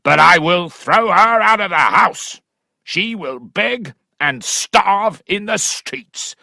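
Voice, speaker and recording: A man reading a storybook while voicing a hot-tempered country squire who shouts and curses at his neighbour. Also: high-pitched, lively intonation, slow, gravelly, dry recording, clean studio sound, voice pushed up, exaggerated voice. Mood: raging. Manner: shouting, cursing, furious.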